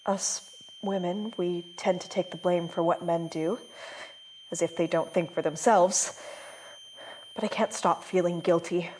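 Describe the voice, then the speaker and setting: A woman playing a guilt-ridden film director giving halting, uneasy testimony in an audio drama interview. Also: lowish voice, fairly even intonation, darkish tone, a little hiss or hum, natural voice, low-quality recording. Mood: guilty, defensive. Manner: halting, reflective, uneasy confessional delivery. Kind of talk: dramatic monologue as documentary interview.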